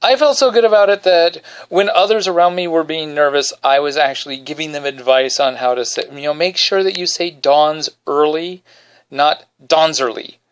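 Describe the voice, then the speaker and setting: A man hosting a podcast from his bedroom, telling a self-deprecating story in a casual, animated way and singing short snippets quietly. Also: normal speed, clear, resonant tone. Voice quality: baritone. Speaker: podcast host.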